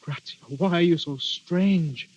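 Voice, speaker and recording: An actor playing an anxious, lovesick young suitor, earnest and pleading, in a 1930s radio drama. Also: male voice, fairly high pitch, a little slow, darkish tone. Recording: muffled vintage 1930s radio recording.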